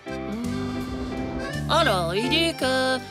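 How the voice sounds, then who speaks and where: A woman's voice: high-pitched, animated, drawn-out words, heavily acted. A woman voicing a brash, boastful, scheming ten-year-old boy in a French cartoon, with a cheeky, slangy, mock-confident way of talking. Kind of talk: French animated cartoon dialogue. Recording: music behind the voice. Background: cartoon music.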